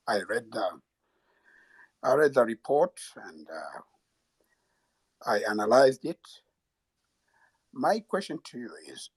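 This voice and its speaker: An older man in the audience asking a question hesitantly, with many pauses and fillers. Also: mid-range voice, husky, dry recording.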